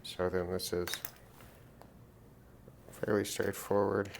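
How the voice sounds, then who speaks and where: Creaky low-voiced man thinking aloud. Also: level tone, slight background noise. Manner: unhurried, thinking aloud while working. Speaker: repair video host. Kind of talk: casual narrated repair demonstration.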